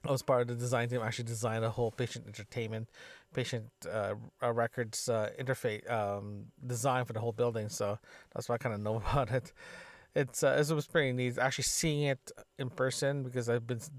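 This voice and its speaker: A man's voice: mid-range voice, little pitch movement, fairly quick, no room sound. A man talking casually into a podcast microphone as a solo audio diary, rambling, often circling back and correcting himself. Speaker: podcaster, system designer.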